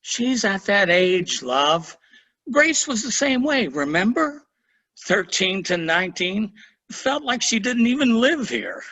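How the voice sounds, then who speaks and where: A man's voice: sits high, big pitch swings, takes it slowly, sharp tone, full character voice. An actor in a staged reading playing a wry, laid-back grandfather over sixty. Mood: easygoing. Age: older. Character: grumbling grandfather, dry and easygoing. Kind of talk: virtual staged play reading.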